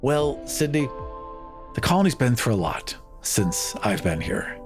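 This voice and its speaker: A man's voice: medium pitch, big pitch swings, a little slow, soft background music. A man improvising as an evasive colony leader in a tabletop game, putting a reassuring, polished spin on bad news. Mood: earnest, rallying.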